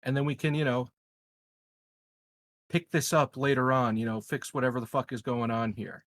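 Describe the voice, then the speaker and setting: A man's voice: medium pitch, fairly even intonation, normal speed, fairly bright tone, close to an everyday voice. A man playing a flippant, self-excusing president who stammers and rambles in a casual tabletop game. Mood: flippant bluffing.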